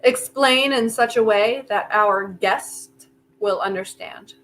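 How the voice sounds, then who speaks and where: Woman as slow, insistent, defiant inventor. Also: mid-range voice, a bit bright, rough recording. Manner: insistent, dramatic pauses, emphatic repetition. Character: defiant, grieving inventor bargaining stubbornly with Death. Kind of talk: staged reading of a stage play.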